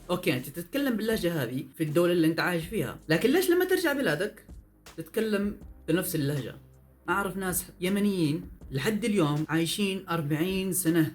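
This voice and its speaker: A young man, giving a lively, emphatic vlog monologue full of rhetorical questions. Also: sits high. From Yemen. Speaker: vlogger. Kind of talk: fast opinion monologue. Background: faint background music.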